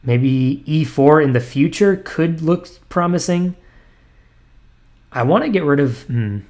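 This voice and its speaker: A man's voice: on the high side, expressive, takes it slowly, darkish tone. A young man thinking aloud as he plays blitz chess online, muttering moves and self-deprecating asides with frequent ums and restarts. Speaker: chess streamer.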